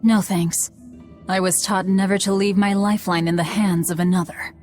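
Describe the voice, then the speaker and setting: A woman voicing a grim, hard-bitten young outlaw in a video game, curt and deadpan. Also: medium pitch, normal speed, soft background music, lightly acted. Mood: curt, bitter.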